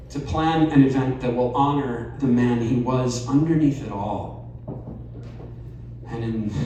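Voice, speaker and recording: Guy reading a story out slow and wry, pretty far from the mic. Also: medium pitch, darkish tone, slight background noise. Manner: slow, measured delivery with wry asides. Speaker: reader at a live storytelling event. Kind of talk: reading a personal essay aloud. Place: bar or event venue, live audience. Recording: very echoey room, voice off-mic, faint ambience.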